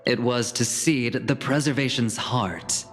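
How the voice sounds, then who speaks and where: A man playing a self-assured, theatrical gambler in a video game. His voice sits at a middle pitch and taunts with smug showmanship. Long reverb surrounds it, with faint music underneath. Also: a little slow, a bit bright, natural voice.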